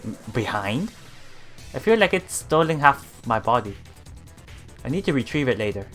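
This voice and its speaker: A streamer reading visual novel text aloud in a halting way, adding casual commentary over game music. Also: medium pitch, very animated, fairly bright tone. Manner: halting reading, often repeating broken words. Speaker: let's player streamer.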